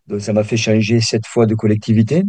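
A man in his sixties with a southern French accent speaks as a guest on a radio show. His voice sits low and dark, and he talks in a halting way, often restarting his sentences. The sound is dry and close to the mic. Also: monotone, slightly rough, no room sound. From Perpignan, southern France. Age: 60s. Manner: hesitant, many restarts and fillers. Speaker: guest, meditation teacher. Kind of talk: radio interview.

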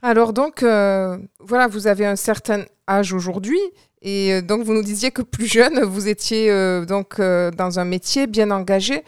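A woman's voice: medium pitch, a little slow, clear, resonant tone, no room sound, clean studio sound. A woman hosting a radio interview show, friendly, with frequent fillers. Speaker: radio host.

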